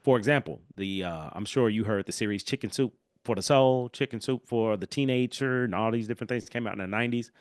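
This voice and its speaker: A man hosting a solo podcast in a casual, animated way, his voice congested and nasal from a cold. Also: lowish voice, fast talker, few pauses, no echo, clean studio sound. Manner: casual, animated, chatty with asides. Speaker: podcast host.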